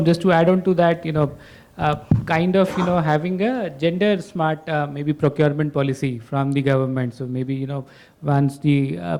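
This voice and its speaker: Soft-toned male panel moderator, halting. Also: fairly high pitch. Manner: hesitant, many fillers.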